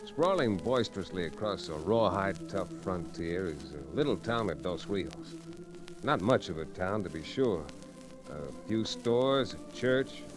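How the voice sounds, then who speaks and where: A man's voice: on the low side, poor audio. A man playing an easygoing young frontier lawyer, folksy and wry, in an old radio western. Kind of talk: 1940s radio western acting, folksy narration. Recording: vintage radio recording with music.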